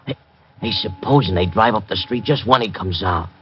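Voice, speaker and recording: An actor playing a nervous, dim-witted American thug sidekick who pesters in uneducated speech, in an old radio drama. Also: male voice, on the low side, moderate pace, natural voice. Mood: anxious. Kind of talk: 1940s-50s radio acting. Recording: dull, noisy old radio recording.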